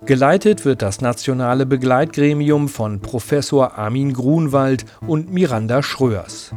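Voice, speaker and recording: A man's voice: mid-range voice, unhurried, darkish tone. A male radio host with a mid-range voice conducts interviews and narrates between them. He frames questions and introductions as a presenter does. Faint music sits behind his voice.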